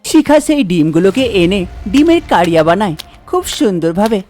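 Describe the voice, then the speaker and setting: A woman's voice: mid-range voice, very animated, fairly quick, mellow, slight background noise. A woman narrating a scripted cartoon story.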